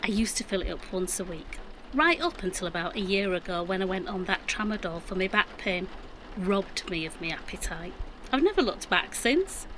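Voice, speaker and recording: A woman's voice: mid-range voice, animated, moderate pace, a bit bright, close to an everyday voice, low-quality recording. A middle-aged woman playing a defensive, practical mum in an audio drama, with a Yorkshire accent and matter-of-fact delivery. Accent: Yorkshire English. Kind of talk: naturalistic audio drama acting.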